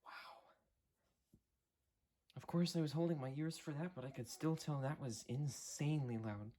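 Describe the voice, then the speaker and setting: A young man with a mellow, slightly husky voice. He talks casually through a hands-on demo at his desk, halting now and then and keeping things understated. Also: a little high. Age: late teens, young adult. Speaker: hobbyist reviewer. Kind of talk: casual unscripted product demo. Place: indoor room at a desk.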